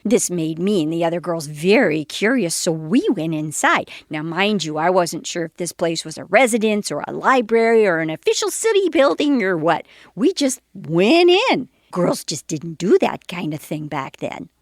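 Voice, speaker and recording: A woman's voice: fairly low pitch, big pitch swings, moderate pace, hardly any pauses, no echo, studio quality. An actress playing an elderly woman who reminisces wistfully and theatrically about her racy youth in a comedy sketch. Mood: wistful, relishing.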